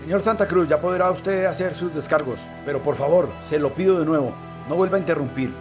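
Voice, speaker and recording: Man playing stern high-voiced priest judge over music. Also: brisk, muffled recording, close to an everyday voice. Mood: stern, admonishing. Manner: formal, admonishing. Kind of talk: audio drama acting.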